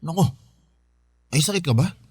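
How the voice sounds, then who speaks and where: A man's voice: a little high, expressive, moderate pace, a little mellow, breathy edge, dry recording, studio quality. A man playing a devoted, worried young husband in a radio drama, with a pleading, emotional delivery. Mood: concerned.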